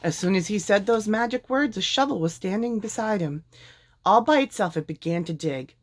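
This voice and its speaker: A woman reading a children's picture book aloud in a deliberate, expressive storytelling way. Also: mid-range voice, a little hiss or hum.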